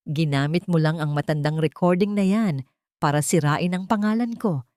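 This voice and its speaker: A woman's voice: sits low, moderate pace, darkish tone, no echo, studio quality, natural voice. A woman storyteller voicing a haughty, wealthy villainess who accuses indignantly in an audio drama. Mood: furious, accusing.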